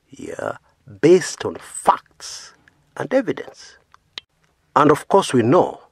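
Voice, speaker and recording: A man's voice: mid-range voice, slightly rough, dry recording, clean studio sound. A man from Kenya whose first language is not English, giving an earnest, emphatic opinion monologue as a video host, often tagging sentences with "yeah.". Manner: earnest, emphatic, frequent "yeah" tags, rising stresses on key words.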